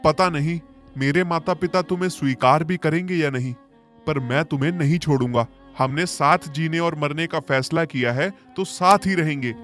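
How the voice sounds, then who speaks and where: A man voicing an earnest, worried educated young village son in an animated moral story. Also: a little high, moderate pace, soft background music, natural voice. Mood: concerned, devoted.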